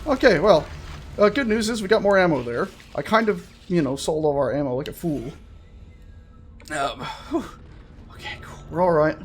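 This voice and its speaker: A man giving casual, chatty let's-play commentary on a horror game, full of jokey asides and an excitable, jumpy manner. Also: a little high, normal speed, slight background noise. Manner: jokey, chatty asides to himself and the game's characters; jumpy, excitable, prone to swearing shouts. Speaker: gaming video host.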